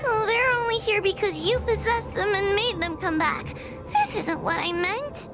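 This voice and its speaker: A woman's voice: extremely high pitch, moderate pace. A woman playing a timid, tearful young fox girl in a video game, sweet and apologetic, with a hesitant, trembling, plaintive delivery. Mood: shaken, tearful. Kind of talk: animated video game voice acting. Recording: voice over loud game music. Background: game music.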